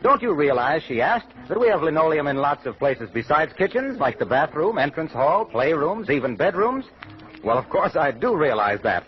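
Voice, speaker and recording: A man's voice: fairly high pitch, very animated, normal speed. An American man reading a 1940s radio advertisement as the announcer, in a polished, folksy selling manner. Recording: muffled old radio broadcast.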